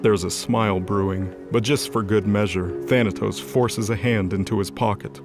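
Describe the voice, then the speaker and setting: Male narrator for mythology audio drama, lowish voice, scripted dramatic read over clear music. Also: fairly even intonation, normal speed. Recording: music under the voice.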